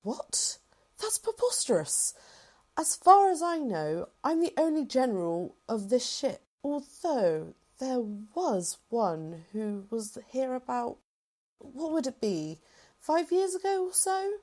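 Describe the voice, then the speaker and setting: A woman's voice: high voice, very animated, takes it slowly, darkish tone, exaggerated voice. A woman playing a composed, reassuring and formal rebel general in a fan-made sci-fi roleplay.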